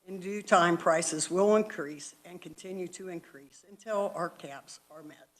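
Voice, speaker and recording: Barber instructor testifying, male, high voice, earnest and read from notes. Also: a little slow, fairly bright tone, poor audio. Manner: earnest, reads from a statement. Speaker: licensed barber instructor and shop owner. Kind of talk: prepared testimony.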